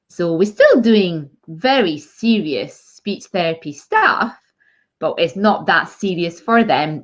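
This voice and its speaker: A woman speech therapist, interviewing a mother and explaining slowly with many fillers. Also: lowish voice. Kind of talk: interview chat. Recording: dull lo-fi sound.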